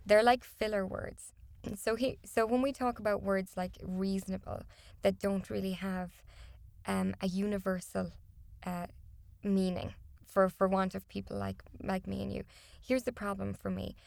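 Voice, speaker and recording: A woman's voice: medium pitch, little pitch movement, moderate pace, gravelly, a little mellow, no echo. A woman whose first language is not English, a contracts and disputes consultant, giving explanatory, emphatic interview answers with some restarts.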